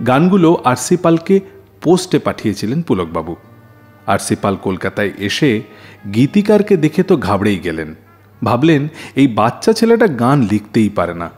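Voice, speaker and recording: A man hosting a radio show, narrating scripted stories with dramatic, deliberate phrasing. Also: medium pitch, a little mellow, husky. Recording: music behind the voice. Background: background music.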